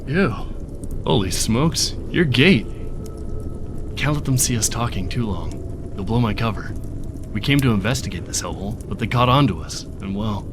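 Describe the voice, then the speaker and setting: A man's voice: fairly low pitch, expressive, normal speed, long pauses, background noise, close to an everyday voice. A man playing an undercover scientist turned fisherman in a video game, wary and resigned, with a folksy, wry, conspiratorial way of talking. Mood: wary, hushed. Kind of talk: video game character dialogue.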